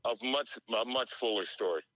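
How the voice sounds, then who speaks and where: A man calling in to a podcast over speakerphone, giving casual opinions in a halting, repetitive, sweary way. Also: medium pitch, sharp tone, dry recording. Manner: halting, repeats words, sweary. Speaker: podcast call-in guest. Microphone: phone call played on speakerphone.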